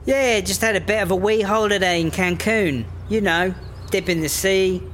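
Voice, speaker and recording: A man's voice: high voice, normal speed, a bit bright. A man with a British accent playing a cheeky, mouthy smuggler driver in a comedy audio drama, slangy and casual. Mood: breezy, innocent. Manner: slangy, casual, feigning innocence. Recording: faint vehicle noise behind.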